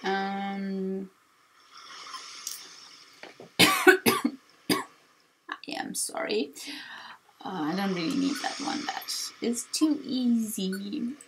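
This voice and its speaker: A woman's voice: mid-range voice, huge pitch swings, extremely slow, long pauses, background noise. A woman doing casual, self-deprecating video game commentary, often apologetic as she plays. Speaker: let's player. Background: game music.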